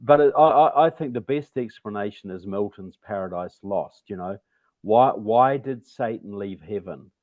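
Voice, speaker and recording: A man's voice: on the low side, normal speed, no room sound. A man with a New Zealand accent giving conversational commentary as an interview guest. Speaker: interview guest, author and political activist. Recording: tinny, narrow phone-like call audio.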